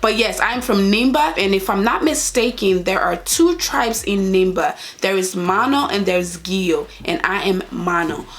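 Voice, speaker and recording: A woman's voice: mid-range voice, expressive, measured pace, few pauses. A young adult woman with a Liberian accent, chatting casually and directly to her viewers as a vlogger. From Liberia, raised partly in Ivory Coast. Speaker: vlogger answering viewer questions. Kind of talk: casual chat to camera.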